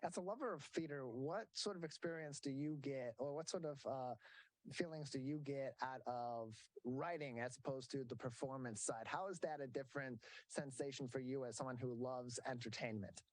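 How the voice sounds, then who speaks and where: A man's voice: mid-range voice, normal speed, dry recording, low-quality recording. A man hosting an interview, asking long, winding questions with some fillers. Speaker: host.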